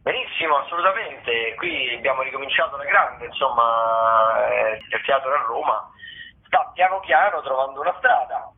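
A man giving a casual radio rundown as a theatre critic, wordy and halting with repetitions. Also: medium pitch, lively intonation. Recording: tinny phone line.

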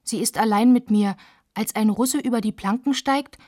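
A woman narrating literary text for a radio play in a measured, clear manner. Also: on the high side, darkish tone, no room sound, studio quality.

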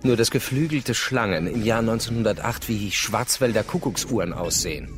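An actor playing a world-weary, sardonic travel writer, speaking with dry literary irony in a radio drama. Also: male voice, on the low side, normal speed, natural voice. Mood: wry, weary. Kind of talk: radio drama narration and dialogue. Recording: music behind the voice.

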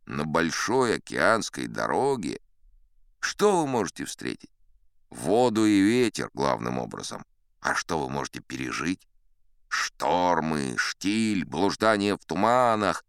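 A man's voice: extremely low pitch, fairly bright tone, clear, resonant tone, no echo, clean studio sound, heavily acted. An actor playing a boastful old sea captain who spins yarns in a pompous, chatty, theatrical storytelling manner, savouring his words. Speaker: audiobook actor narrating in character. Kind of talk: theatrical storytelling in an audio play.

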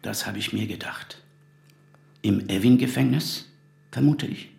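A man acting a courteous, grave middle-aged exile in a naturalistic radio drama, gravelly and measured. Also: lowish voice, darkish tone, roomy sound. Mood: grave, sorrowful. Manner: polite, measured.

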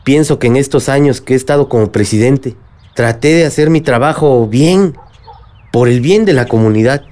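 A man's voice: mid-range voice, normal speed, a little mellow, natural voice. A man with a Mexican accent playing a middle-aged, remorseful village leader and father in a radio drama. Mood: remorseful, earnest.

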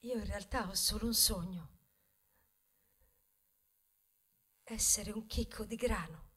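A woman playing a humble, dreamy grain of wheat in a theatrical ballad, declaiming earnestly and wistfully with dramatic pauses. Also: mid-range voice, a bit bright, slightly rough. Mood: humbled, apologetic. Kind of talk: theatrical monologue in a radio stage ballad.

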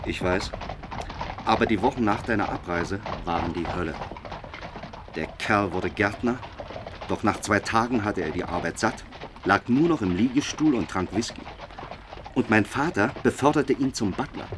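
A man as an urgent, low-voiced young gentleman. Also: moderate pace, pauses often, lightly acted. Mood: bitter, aggrieved. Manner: urgent, aggrieved. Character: distraught, bitter young gentleman, loyal son. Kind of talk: radio drama acting. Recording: clear background ambience noise.

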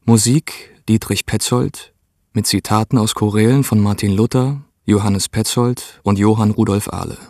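Man, formal radio announcer for credits: lowish pitch, unhurried, dry studio sound. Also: steady tone, a little mellow, dry recording. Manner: formal, measured.

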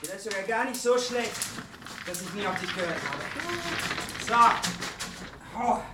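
A man's voice: high-pitched, normal speed, close to an everyday voice. An actor playing a brash, fearless young hero in a fantasy radio play, bold and taunting in his declamation. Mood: cocky. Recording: echoey, distant sound with music behind.

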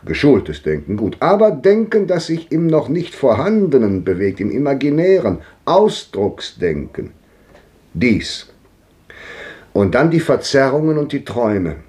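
A declaiming old thinker. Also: male voice, mid-range voice, big pitch swings, measured pace, darkish tone. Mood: musing. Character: old man musing philosophically. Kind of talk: 1950s radio drama acting.

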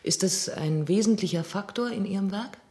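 A woman's voice: medium pitch, moderate pace, mellow, natural voice. An actress playing a polite, probing female interviewer in a radio drama.

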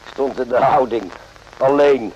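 An actor in a radio play voicing a gruff, irritable army sergeant with a husky voice and a curt, sneering way of talking. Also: male voice, mid-range voice, a little slow, a little mellow, dull audio, a little hiss or hum, natural voice. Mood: gruff, sardonic.

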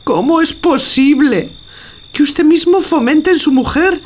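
A woman's voice: high-pitched, measured pace, a little mellow, slight background noise. A woman playing an indignant, anxious, loyal housemaid in an audio drama, with an emotional, dramatic delivery. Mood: anxious, shocked. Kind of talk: theatrical audio drama acting.

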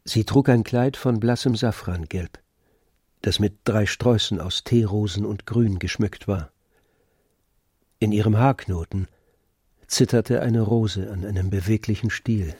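A man narrating a literary radio drama from a script in a measured storytelling manner. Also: fairly low pitch, darkish tone, breathy edge, no echo, clean studio sound. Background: music with violin.

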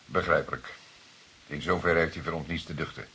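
A low-voiced, insistent police detective. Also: male voice, bright, forceful tone, slight background noise, full character voice. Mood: probing. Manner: formal, deliberate, insistent questioning. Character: composed, probing male police detective, courteous but insistent. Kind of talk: Dutch radio play acting.

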